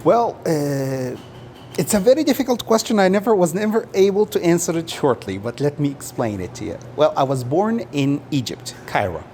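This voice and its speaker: A man from Saudi Arabia, a native Arabic speaker, answering casually in an interview and often pausing and repeating words as he explains. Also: a little high, sing-song, darkish tone, a little hiss or hum. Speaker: interview guest.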